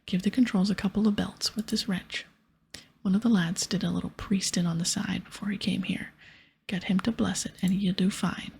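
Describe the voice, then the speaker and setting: A woman voicing a bossy, sarcastic male pirate captain from a game in a breathy whisper. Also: medium pitch, fairly even intonation, moderate pace, a little mellow. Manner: brusque, sarcastic, teasing. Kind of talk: whispered game dialogue reading.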